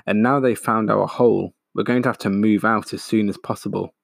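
A man's voice: fairly low pitch, fairly even intonation, fairly quick, vocal fry, dry recording, clean studio sound. A man voicing a clever, caring father fox in a storybook reading, firm and commanding. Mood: worried.

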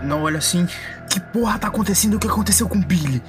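A man's voice: sits high, racing, music in the background. A man with a Brazilian accent, a blunt, slangy player casually role-playing. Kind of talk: casual tabletop role-play.

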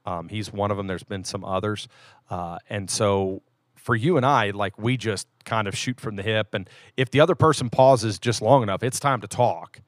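Lowish male voice, folksy podcast banter, dry sound. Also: moderate pace, no room sound. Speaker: podcast co-host.

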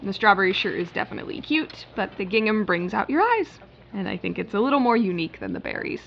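A woman's voice: mid-range voice, big pitch swings, fast talker, creaky. A woman acting in an audio drama as a harried, polite but wry retail floor manager. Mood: polite, placating. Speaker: actor. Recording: muffled, noisy hidden-bug recording with shop ambience.

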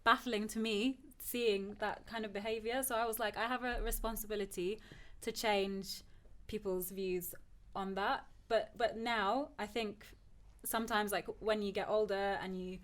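A woman's voice: mid-range voice, fairly even intonation, unhurried, fairly bright tone. Reflective young woman from Turkey. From Turkey, moved to England at ten. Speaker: podcast guest, PhD student. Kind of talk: conversational personal discussion.

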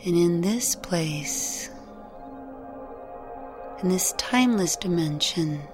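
Very slow, soothing woman guiding meditation. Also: lowish voice, expressive. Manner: soothing, gentle, unhurried with long pauses. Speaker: meditation guide. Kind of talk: guided meditation narration. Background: music.

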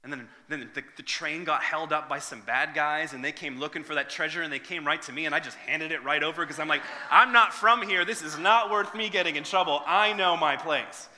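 Male pastor, 30s, earnest and conversational, bright voice, long hall reverb. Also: a little high, fairly quick, few pauses. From Northern Ireland. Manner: earnest, conversational, with frequent restarts and fillers. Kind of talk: sermon with prayer and personal story.

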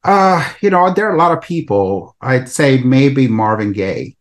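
A man's voice: mid-range voice, lively intonation, a little slow. A middle-aged man from El Salvador, an author answering interview questions in a thoughtful, conversational way. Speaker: author and researcher guest.

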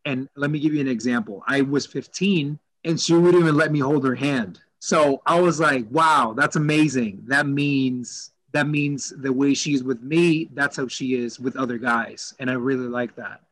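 A man who grew up in El Salvador, speaking as a podcast guest in an easy, thoughtful way. His voice sits mid-range for a man, and he pauses and restarts as he thinks. The mic is close and dry. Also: mid-range voice, fairly bright tone, dry recording, rough recording. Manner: thoughtful, hesitant with fillers and restarts. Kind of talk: casual chat.

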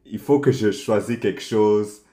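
Guy from montreal, really low voice, keeps restarting and tossing in english bits, chatty interview. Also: warm tone. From Montreal, Canada. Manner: halting, many restarts, slips into English. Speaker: guest. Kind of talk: casual interview.

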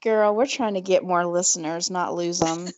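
A woman with a Southern US accent co-hosting a casual podcast, chatty and quick to laugh. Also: fairly low pitch, fairly even intonation, a little hiss or hum. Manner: laughs easily, chatty with fillers. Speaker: podcast co-host. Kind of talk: casual chat.

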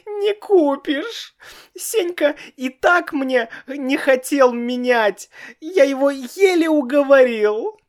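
Brief: excitable small boy, tearful and pleading, slow drawn-out lines, voiced by a man. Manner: high whiny pleading, sobbing. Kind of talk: storybook reading.

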